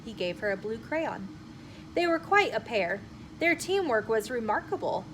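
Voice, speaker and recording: A woman storyteller reading a children's chapter book aloud in an expressive, emphatic way. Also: fairly high pitch, normal speed, rough recording. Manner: animated, emphatic phrasing with acted dialogue. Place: inside a bookshop. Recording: noticeable room noise behind the voice.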